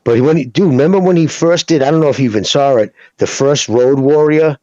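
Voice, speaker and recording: A man co-hosting a boxing podcast, talking in loose, profane, animated banter. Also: a little high, fairly quick, no room sound, dull audio. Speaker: podcast co-host. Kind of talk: casual chat.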